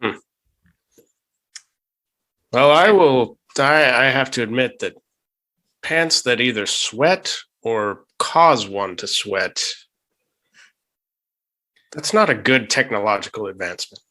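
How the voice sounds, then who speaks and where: A man playing a measured, dry-witted medieval knight who speaks formally and deliberately. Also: medium pitch, expressive, pushed and bright. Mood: dry, musing.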